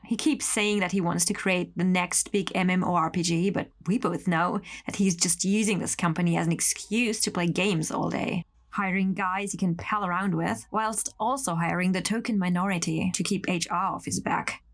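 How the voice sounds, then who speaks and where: A woman's voice: fairly low pitch, steady tone, moderate pace, lightly acted. A woman playing a jaded, resentful young office worker in an audio horror drama. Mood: tender, bitter.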